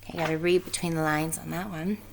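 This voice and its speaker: A woman's voice: low voice, fairly even intonation, fast, vocal fry. A woman reading a children's storybook aloud and talking informally to pupils like a teacher.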